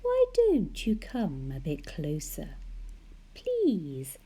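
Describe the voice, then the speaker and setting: A woman voicing a sly, grinning shark in a storybook, hushed and coaxing. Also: on the low side, wildly swooping pitch, very slow, husky, heavily acted.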